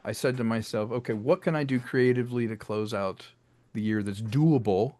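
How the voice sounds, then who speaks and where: Animated man talking about his work. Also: mid-range voice, moderate pace, no room sound. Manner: animated, slips into voiced quotes, laughs between thoughts. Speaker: director and writer discussing his audio drama. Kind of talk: casual interview chat.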